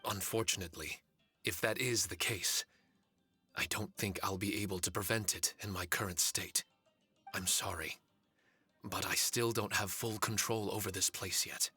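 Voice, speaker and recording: A man's voice: deep voice, fairly even intonation, normal speed, sharp tone, slightly rough, dry recording, soft background music, heavily acted. An actor playing a solemn, humble male spirit warrior in a video game, speaking in a grave, formal way. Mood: apologetic. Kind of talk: English video game voice acting.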